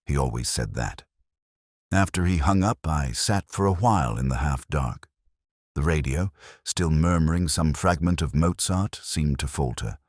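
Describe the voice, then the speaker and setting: Actor as a very deep, brooding detective narrator. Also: male voice, darkish tone, dry recording, studio quality, heavily acted. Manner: measured, brooding, reflective. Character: weary, melancholy older male Oxford police detective narrating. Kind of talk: literary first-person audio drama narration.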